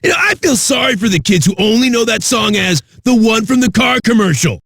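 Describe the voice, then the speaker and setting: An actor playing a cocky, crude, manic metal radio DJ with a raspy, shouty delivery. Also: male voice, high voice, expressive, a little fast, dry recording, studio quality, full character voice. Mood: hyped, brash. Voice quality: raspy, gravelly. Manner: brash, profane, shouty. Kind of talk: hard rock radio DJ patter.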